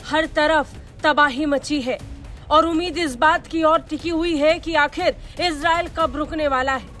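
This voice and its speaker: A woman's voice: high voice, steady tone, unhurried, a bit bright. A woman reading a scripted news report as a voice-over in a formal, dramatic delivery. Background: music under the voice.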